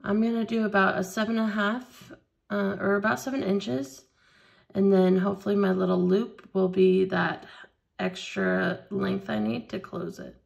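A woman leading a hands-on beading tutorial at a craft table, explaining each step slowly and patiently. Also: medium pitch, steady tone, gravelly. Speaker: craft instructor.

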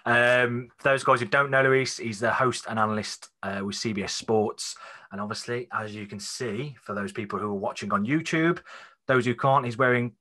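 A man hosting a fan podcast over a video call, relaxed, repeating words and using fillers. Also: mid-range voice, moderate pace. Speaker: podcast host. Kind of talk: casual podcast interview. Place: small room at home. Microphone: studio mic on video call.

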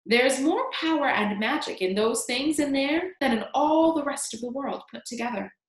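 A woman's voice: on the high side, big pitch swings, normal speed. Woman voicing a strange, secretive old man. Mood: hushed. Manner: hushed and then wildly urgent. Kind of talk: storybook reading. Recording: echoey room.

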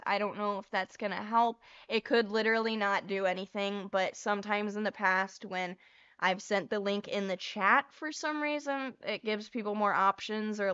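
A young woman streams from a small bedroom, chatting loosely and swearing as she paints. Her voice sits at a middling pitch and is turning a little hoarse. The lapel-mic sound is a touch dull and lo-fi. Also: a bit bright. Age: young adult. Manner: casual, swears freely, chatty and rambling. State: getting hoarse. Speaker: livestream host. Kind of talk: casual chat while painting cards.

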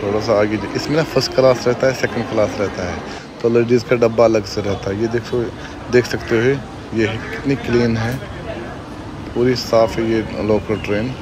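A man's voice: lowish voice, fairly even intonation, moderate pace. A man narrating a casual travel vlog from a handheld phone camera, chatty and repetitive, calling out to his viewers as he walks through a station and rides a train. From India. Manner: chatty, repetitive pointing-out, addresses friends directly. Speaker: travel vlogger. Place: busy city train station and moving local train. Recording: loud station and train noise. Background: loud station and train noise.

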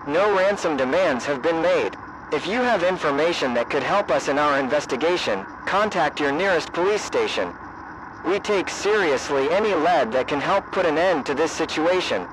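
Male alert announcer, higher-pitched voice, scripted public warning, official, measured, noisy broadcast recording. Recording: noisy emergency broadcast audio.

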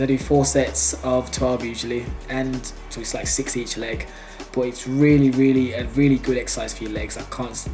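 A man's voice: mid-range voice, darkish tone. A young man talking loosely through a gym workout as a fitness vlogger, full of fillers, restarts and the odd swear. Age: young adult. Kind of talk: casual voice-over commentary on a workout.

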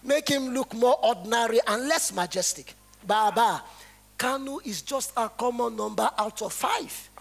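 High-voiced, earnest Nigerian palace guard. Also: male voice, lively intonation, normal speed, gravelly, full character voice. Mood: solemn. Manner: declamatory, dramatic. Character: earnest, troubled adult son, a palace guard. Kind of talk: stage play acting. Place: theatre stage.

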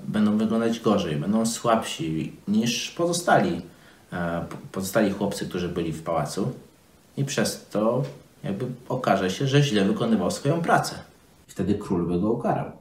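A man speaks in a low voice that moves up and down in a lively way. He explains things simply, as a storyteller for children, with halts along the way. The room around him echoes clearly. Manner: halting, simply worded, explanatory. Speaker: presenter telling a Bible story to children. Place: small office, seated at desk. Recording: roomy indoor echo.

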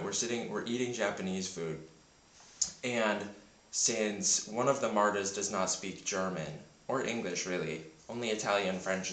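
A man with a very low, deep morning voice that sounds slightly sick rambles casually into a camera he holds in his hand. His talk is chatty and self-deprecating, full of fillers, and the room around him rings with echo. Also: a bit bright. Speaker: vlogger. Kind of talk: casual vlog monologue. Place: home in Berlin. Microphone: handheld camera mic.

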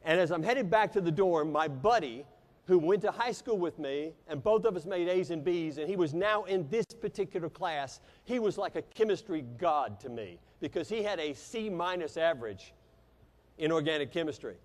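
An American man delivers a motivational keynote in a big, reverberant hall. His voice sits a little high for a man, and he tells stories theatrically, leaning on dramatic pauses. He sounds like he is in his fifties and speaks into a lapel mic on stage. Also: expressive. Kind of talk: keynote speech with personal storytelling. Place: large convention hall stage. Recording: echoey hall with long reverb.

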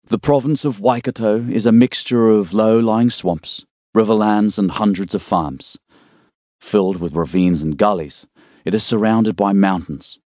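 A man reading a thriller novel as an audiobook narrator, in a measured, suspenseful storytelling way. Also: lowish voice, warm tone, no echo, studio quality.

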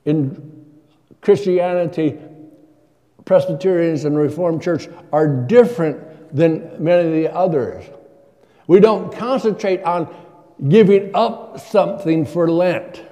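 A man's voice: on the high side, takes it slowly, dark tone. An older man preaching a sermon from a church pulpit, asking his congregation questions in a conversational way. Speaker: guest preacher. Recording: long church reverb.

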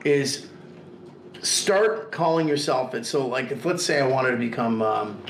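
A man's voice: medium pitch, lively intonation, measured pace, echoey room, background noise. A man in his forties telling stories in casual, profane conversation, often acting out quoted voices, recorded in a living room. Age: 40s. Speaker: interview guest, artist. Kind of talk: casual storytelling. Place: living room with leather couch.

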